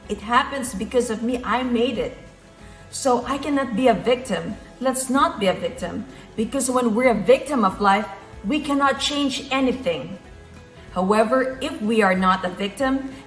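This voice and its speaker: A woman's voice: on the high side, roomy sound. A woman from the Philippines with a Filipino accent, giving an earnest motivational talk and repeating key phrases for emphasis. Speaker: motivational speaker.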